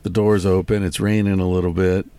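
Laid-back male host, dark mellow tone, easygoing and chatty. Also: deep voice, fairly quick, clean tone. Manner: relaxed, rambling, with many restarts. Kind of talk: casual interview chat. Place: front seat of a parked van, door open, rain.

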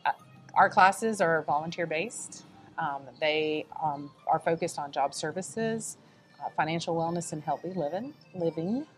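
Lower-voiced woman with a light Southern accent. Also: unhurried, soft background music. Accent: Southern American. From American South. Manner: animated storyteller, acts out quoted dialogue. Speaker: nonprofit staff guest. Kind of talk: conversational interview storytelling.

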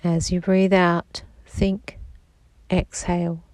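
A woman guiding a sleep meditation in a slow, soft-spoken, soothing manner. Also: lowish voice, little pitch movement, no echo. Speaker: meditation guide.

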